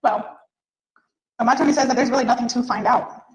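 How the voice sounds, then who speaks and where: A woman's voice: a little high, fairly quick, roomy sound, slight background noise. A woman speaking casually and personally on a jail video call, rambling and repeating herself as she talks. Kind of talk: casual personal phone conversation.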